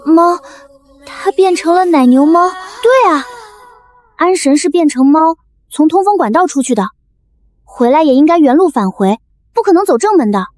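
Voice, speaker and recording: Woman playing an anxious young woman, very high voice. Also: animated, normal speed, pauses often, a little mellow. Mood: frightened, insistent. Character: anxious, loyal young woman hiding from monsters. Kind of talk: Chinese animated drama dubbing.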